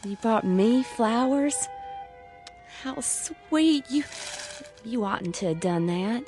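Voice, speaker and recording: Casting: headstrong, jittery young woman, rural American accent, higher pitch, radio play with soft music. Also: animated, slow pace. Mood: sweet, nervous. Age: young adult. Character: stubborn, nervous young country sweetheart. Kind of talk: radio drama acting.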